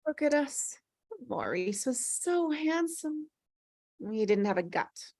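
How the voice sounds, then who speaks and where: A woman's voice: sits high, big pitch swings, slow pace, darkish tone, dry recording, clean studio sound, full character voice. A woman playing a teasing, melancholy older female ghost in an acted script read, with wry, sighing, dramatic delivery. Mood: wistful.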